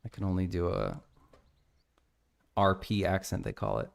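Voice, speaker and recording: American game dev streamer, lowish voice with a gravelly edge, talks through his code as he works. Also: big pitch swings, normal speed. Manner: thinking aloud, reading code out. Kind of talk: casual live coding stream.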